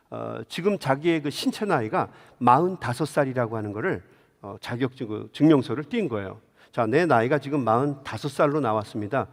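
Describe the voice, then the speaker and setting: Animated male preacher in a reverberant hall. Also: medium pitch. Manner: engages listeners with direct questions. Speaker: pastor preaching to a congregation. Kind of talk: Sunday sermon with storytelling and rhetorical questions. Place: large indoor church sanctuary. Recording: long hall reverb of a church.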